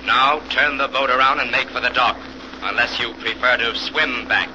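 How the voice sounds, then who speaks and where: Man playing invisible avenging hero, measured, taunting, commanding, vintage radio thriller, muffled sound, clearly noisy background. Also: medium pitch, steady tone, natural voice. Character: invisible male vigilante hero, calm and menacing. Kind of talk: 1940s radio acting.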